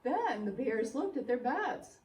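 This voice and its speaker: Very animated woman storyteller, roomy sound. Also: a little high, measured pace, distant mic. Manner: exaggerated, expressive, addresses children. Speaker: preschool teacher reading a story. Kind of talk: children's storytelling. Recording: roomy, away from microphone.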